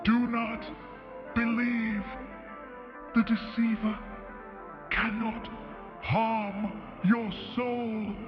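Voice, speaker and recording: Woman as creepy solemn angel doing a survival tutorial, super slow and halting, music playing under it. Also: on the high side, flat delivery, lo-fi sound. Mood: solemn warning. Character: solemn, otherworldly angel giving dire survival warnings. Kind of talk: slow eerie instructional narration. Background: music, clearly heard.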